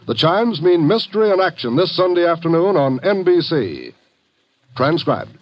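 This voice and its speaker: A man's voice: fairly high pitch, big pitch swings, normal speed, no echo. A man reading a scripted network promo as a 1950s radio announcer. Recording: dull, dry vintage 1950 radio recording.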